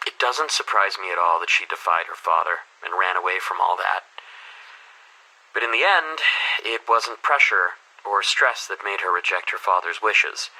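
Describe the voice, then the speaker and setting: A man's voice: lowish voice, pushed and bright, husky, heavily acted, poor audio. A man playing an earnest, reflective school headmaster in a video game, confiding his thoughts in a conversational, musing audio diary. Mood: troubled, resigned. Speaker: headmaster character.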